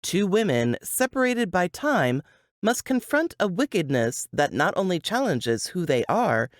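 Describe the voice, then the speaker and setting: A woman's voice: fairly low pitch, big pitch swings, measured pace, no room sound, clean studio sound. A woman giving a scripted, dramatic narration, as for a book blurb.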